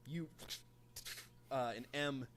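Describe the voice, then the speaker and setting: A man runs a tabletop game live as its game master. He improvises the narration as he goes, often halting to search for the next word. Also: medium pitch, fairly even intonation, a bit bright, a little hiss or hum.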